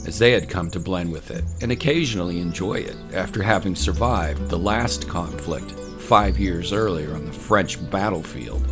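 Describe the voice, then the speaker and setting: A man with a low voice narrates a story in measured, literary phrases. Guitar music plays clearly behind the scripted narration.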